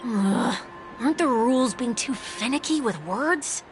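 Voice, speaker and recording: An actress playing a quick-witted young woman trailblazer in a video game, talking in a wry, questioning way over loud game music. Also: on the high side, expressive. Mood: curious. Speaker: player character. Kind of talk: game voice acting.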